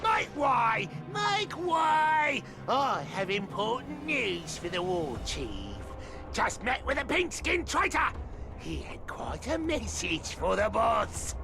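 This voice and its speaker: A self-important orc messenger in a fantasy game. He blusters and brags in a high, gravelly voice that swings about with slow, bellowed emphasis. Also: sing-song, raised voice, music in the background, full character voice. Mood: boastful, urgent. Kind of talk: video game cutscene acting.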